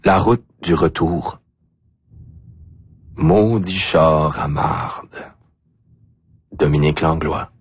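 Deep, husky Acadian man reciting poetry. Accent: Acadian French. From Acadia, New Brunswick, Canada. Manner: deliberate, short phrases with pauses. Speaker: poet. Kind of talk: spoken-word poetry recital.

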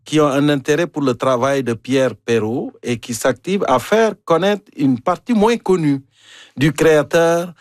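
A man with a Quebec French accent hosts a radio interview, putting his questions in a relaxed, conversational way. His voice sits in a middle register and sounds close and dry, with no room around it. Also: medium pitch, dry recording. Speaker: radio host.

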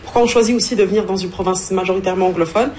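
A woman's voice: medium pitch, racing, mellow, a little hiss or hum. A woman whose first language is Arabic, answering a radio interview earnestly as a newcomer, with small restarts.

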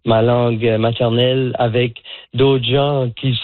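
A man's voice: medium pitch, flat delivery, slow pace, dry recording. A man in his sixties from Moncton, talking as a guest in a radio phone interview, hesitant, with many fillers and restarts. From Moncton, Canada. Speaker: guest, pickleball coach. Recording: tinny dry phone line.

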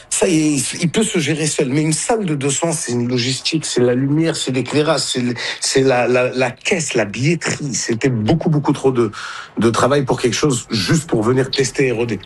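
A man from Côte d'Ivoire, a stand-up comedian talking in an animated, conversational way and often repeating words. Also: mid-range voice, slightly rough. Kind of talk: conversational storytelling and interview talk.

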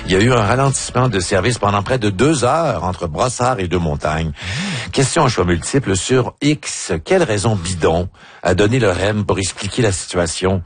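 A man's voice: on the low side, animated, moderate pace, husky, dry recording. A man with a Quebec French accent hosting a radio show, bantering playfully and reading out multiple-choice quiz questions with frequent laughs.

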